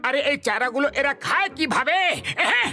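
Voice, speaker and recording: Man doing an excitable high-pitched cartoon fellow. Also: brisk, creaky, soft background music, full character voice. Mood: puzzled. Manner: exclamatory. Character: excitable, simple cartoon man hungry for revenge and fun. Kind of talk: cartoon dub acting.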